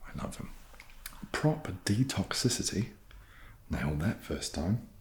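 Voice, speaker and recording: A soft-spoken man casually answering viewer questions in a gentle, wry way. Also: low voice, very animated, a little slow. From New Zealand.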